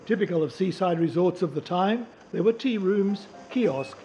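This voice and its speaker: Higher-pitched male documentary narrator. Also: animated, normal speed, warm tone, a little hiss or hum. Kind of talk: scripted historical narration.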